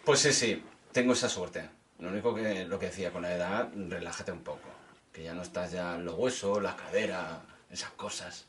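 Bright-voiced man with Catalan accent. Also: sits low, moderate pace, a little hiss or hum. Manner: jokey, slips into Catalan. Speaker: podcast co-host. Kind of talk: casual banter.

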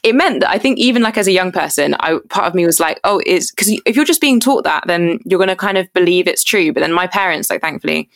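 A woman's voice: on the low side, a little fast, hardly any pauses, no room sound, studio quality. A woman in her twenties with a southern English accent, talking in an earnest, rambling, casual way as an interview guest. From Surrey, England (born in Jamaica). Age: 20s. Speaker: podcast guest, activist and writer. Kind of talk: casual reflective interview talk.

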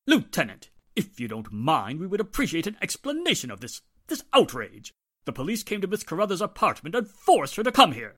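A man's voice: high-pitched, huge pitch swings, brisk, fairly bright tone, exaggerated voice. A man playing a haughty, indignant stage actor, pompous and formal, in 1940s radio style.

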